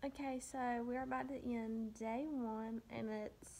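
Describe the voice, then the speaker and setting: A woman's voice: fairly high pitch, steady tone, measured pace, warm tone, a little hiss or hum. A young woman, giving a casual, chatty vlog tour on a handheld phone. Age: young adult. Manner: chatty, upbeat, filler-heavy. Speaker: vlogger. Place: rental condo indoors. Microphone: handheld phone at arm's length.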